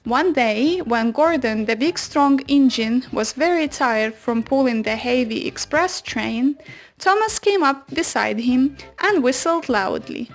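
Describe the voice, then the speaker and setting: A woman's voice: high voice, expressive, measured pace. A woman narrating a children's story from a script, as if reading a picture book aloud.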